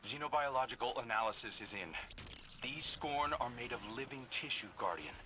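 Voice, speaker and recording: An actor playing a principled young warrior leader, briefing a soldier over comms in a calm, firm, businesslike way. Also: male voice, medium pitch, fairly quick. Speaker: mission commander on comms. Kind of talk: mission radio briefing. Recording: game audio with clear background noise.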